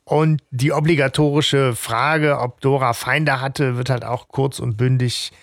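A man co-hosting a fan podcast, recapping an audio drama's plot in quick bursts during a casual discussion. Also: mid-range voice, steady tone, no room sound, studio quality. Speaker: podcast co-host.

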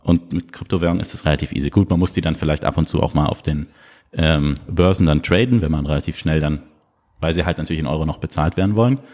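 A man with a notably low voice talks as a podcast guest. He is from Munich and tells his stories with energy, often breaking into a chuckle. The talk is loose and conversational. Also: fast talker. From Munich, Germany. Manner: lively storyteller, chuckles while talking. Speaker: podcast guest, crypto shop founder.

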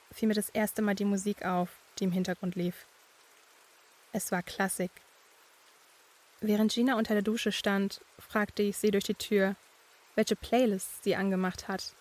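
Young female student narrator, read by an actress, medium pitch, flat intonation, measured eerie storytelling. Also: natural voice. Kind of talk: scripted first-person horror story narration.

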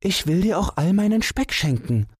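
A man's voice: high-pitched, sing-song, moderate pace, breathy edge, dry recording, clean studio sound, voice pushed up, full character voice. A man voicing a timid little female mouse who pleads and begs in a storybook reading. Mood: pleading. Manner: pleading, plaintive.

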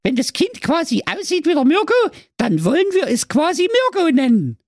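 A man's voice: very high-pitched, big pitch swings, moderate pace, no echo, clean studio sound, pitched above the performer's own voice, full character voice. A man voicing a scheming middle-aged housewife in a sing-song Saxon accent, in a comic radio fairy tale. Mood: coaxing.

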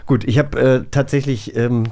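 A man's voice: mid-range voice, fairly even intonation, moderate pace, a little mellow. A man hosting a casual podcast, chatting in an enthusiastic way. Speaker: podcast host. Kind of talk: casual talk show chat.

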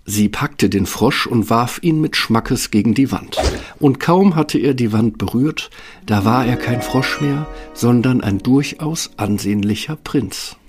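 A man's voice: medium pitch, measured pace, music in the background. A man narrating a scripted children's fairy tale as a storyteller.

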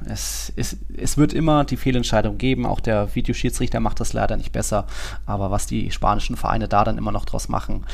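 A man's voice: lowish voice, gravelly. A man in casual sports discussion, as host of a football podcast.

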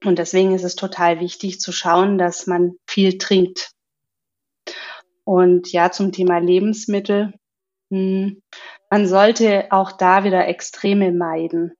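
A woman working as a voice coach and speech therapist, explaining calmly in a podcast interview and often humming along in agreement. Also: on the low side, slow, highs cut off. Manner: calm, explanatory, with frequent affirming hums.

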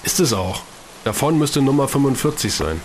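Grieving, determined hero played by an actor. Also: male voice, medium pitch, very animated, moderate pace, a little hiss or hum. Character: grieving, determined male hero seeking revenge. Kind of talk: German audio drama acting.

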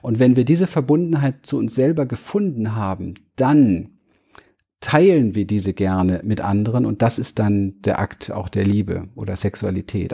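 A man's voice: on the low side, creaky, mellow, breathy edge. A man speaking earnestly as a guest in an interview, searching for words and restarting phrases as he explains. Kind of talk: reflective interview talk.

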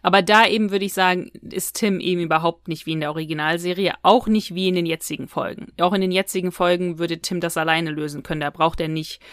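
A woman's voice: on the low side, steady tone, quick pace, hardly any pauses, clear, resonant tone, no room sound, studio quality. A woman co-hosting a podcast, giving her opinions in a casual, conversational review chat. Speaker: podcast co-host. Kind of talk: casual review chat about audio dramas.

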